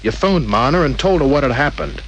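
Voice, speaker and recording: A man's voice: mid-range voice, lively intonation, brisk, lightly acted. A man playing a cool, shrewd private detective in an old-time radio drama, with a wry, terse tough-guy delivery. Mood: confident, needling. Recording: old radio recording with faint hiss.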